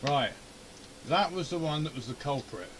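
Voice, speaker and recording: A man's voice: a little high, animated, normal speed, a bit bright. Grumbling hobbyist man, noisy room. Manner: grumbling, muttering to himself. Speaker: amateur radio hobbyist and video host. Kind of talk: casual running commentary while doing a repair. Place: indoor home radio shack.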